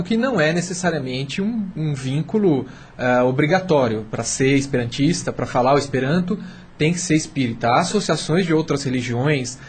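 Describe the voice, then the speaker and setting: A Brazilian man commenting as a radio panel guest, explaining carefully while searching for words. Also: on the high side. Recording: faint background noise.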